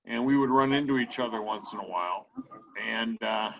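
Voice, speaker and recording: A man hosting an online meeting who introduces the speaker slowly, with many fillers. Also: on the low side, lo-fi sound, slight background noise. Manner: slow, hesitant, with many fillers. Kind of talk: hosting a video call.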